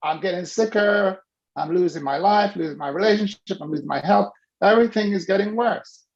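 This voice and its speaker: A man coaching a participant on a video call, animated and teasing as he prods them by name. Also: high voice, a little fast, creaky, lo-fi sound. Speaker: business coach.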